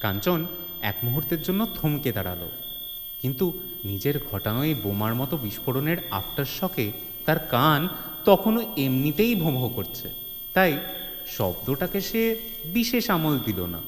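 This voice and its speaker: A man's voice: mid-range voice, sing-song, measured pace, pauses often, a little hiss or hum. A man narrating a comedy-mystery story in a theatrical, suspenseful way, with touches of mock alarm. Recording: long hall reverb, music bed.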